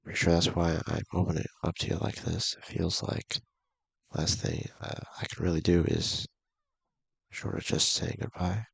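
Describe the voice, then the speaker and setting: Deep, gravelly man reading aloud. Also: monotone, normal speed, no echo. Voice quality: gravelly, husky. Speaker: video game streamer. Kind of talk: casual read-aloud playthrough of visual novel.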